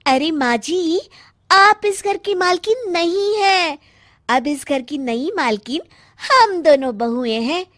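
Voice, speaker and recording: A woman's voice: very high voice, expressive, drawn-out words, long pauses, a bit bright, no echo, clean studio sound, full character voice. A woman playing a young, scheming younger daughter-in-law in a cartoon, girlish and emphatic. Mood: gloating. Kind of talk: cartoon moral-story acting in Hindi.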